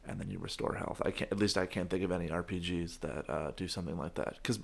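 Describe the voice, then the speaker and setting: A young man with a very low, gravelly voice that is hoarse from shouting the day before. He chats casually and goofily as a podcast host, rambling and restarting his sentences. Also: fairly even intonation, quick pace. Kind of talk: casual chat.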